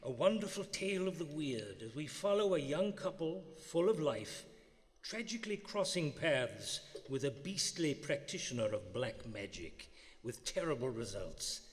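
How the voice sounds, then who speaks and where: A man's voice: a little high, very animated, moderate pace. A man playing a macabre old-time radio host, savoring eerie tales in a theatrical manner. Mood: relishing. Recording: reverberant live theater stage.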